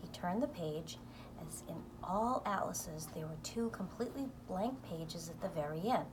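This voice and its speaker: Female storybook reader, slightly low voice, animated delivery, clearly noisy amateur recording. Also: moderate pace. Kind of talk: expressive read-aloud of a children's novel.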